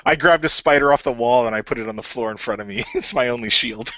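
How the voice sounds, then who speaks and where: A man's voice: mid-range voice, fast, very noisy. A man, the creator and game master of an audio drama, chatting casually, rambling with many fillers and laughing often. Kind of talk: casual group chat. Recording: dull lo-fi, dry sound.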